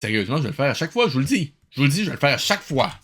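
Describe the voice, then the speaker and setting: A man's voice: mid-range voice, big pitch swings, rapid-fire. A man with a Québécois accent giving casual, mocking, incredulous commentary as host of a cartoon review.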